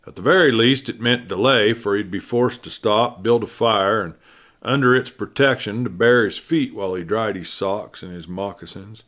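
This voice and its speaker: A man narrating a classic adventure story in a measured storytelling way, with pauses and phrases that fall away at the end. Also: fairly low pitch, a bit bright. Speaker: audiobook narrator and host.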